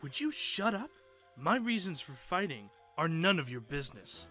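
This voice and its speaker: A man's voice: a little high, big pitch swings, normal speed, soft background music. A man playing a hot-headed young male fighter who talks brashly and impatiently, in a fan radio drama. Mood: irritated. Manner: impatient, brash, shouts battle cries.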